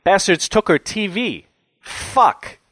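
A man's voice: sits high, lively intonation, slow, fairly bright tone, dry recording, pitched above the performer's own voice, heavily acted. A man voicing an angry, foul-mouthed jailed man in his late twenties, ranting and agitated in an audiobook story. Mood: furious, indignant. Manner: agitated, ranting, heavy swearing.

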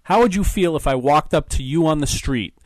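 Man voicing a blunt wry guy, dry delivery, lively intonation, dry close sound. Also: a little high, fairly quick, no echo, voice pushed up, close to an everyday voice.

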